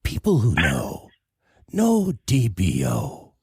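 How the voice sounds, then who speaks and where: A man hosting a podcast in casual, bantering talk, with a raspy voice and a habit of emphatically repeating his phrases. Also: sits low, wildly swooping pitch, slow pace, a little mellow, no room sound, studio quality. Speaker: podcast host.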